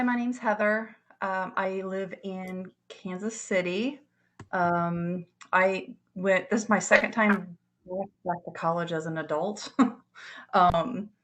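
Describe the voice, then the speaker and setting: A woman's voice: fairly low pitch, a bit bright. A woman introducing herself to a class in a casual way, breaking off and restarting sentences. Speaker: student.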